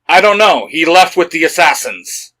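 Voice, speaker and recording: Man acting out young male superhero, cocky, emphatic, high forceful voice, swinging intonation, fan fiction audio. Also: very animated, moderate pace, full character voice. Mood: matter-of-fact. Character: Nightwing, confident young male vigilante hero, sharp-tongued.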